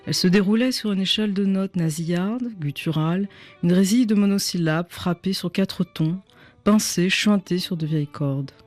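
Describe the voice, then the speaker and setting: A woman's voice: on the low side, normal speed, a little mellow, soft background music. A woman novelist whose first language was Chinese, speaking in a radio studio interview, reflective and searching for words. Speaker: novelist guest.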